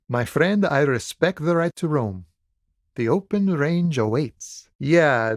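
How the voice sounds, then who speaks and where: An actor playing an easygoing, wily striking dockworker in a video game, with folksy cowboy swagger and a theatrical, friendly drawl. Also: male voice, fairly high pitch, dry recording, studio quality, natural voice. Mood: smooth, friendly, then amused, sly. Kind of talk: video game character dialogue.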